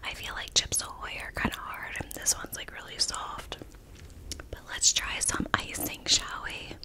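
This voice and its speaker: Whispering woman doing an ASMR food review. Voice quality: breathy unvoiced whisper. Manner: casual, unhurried, gentle commentary while eating.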